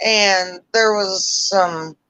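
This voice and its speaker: A woman chatting casually on a livestream call, talking loudly and rambling. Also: mid-range voice, very slow, no room sound. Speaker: livestream guest.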